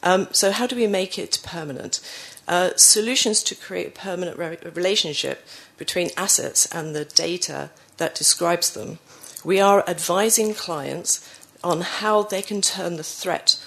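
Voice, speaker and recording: A woman presents to a conference audience, her voice low and gravelly and roughened by a cold. She talks through slides with frequent ums and restarts, correcting herself as she goes. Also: unhurried, fairly bright tone. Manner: frequent fillers and self-corrections. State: croaky from a cold. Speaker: conference speaker.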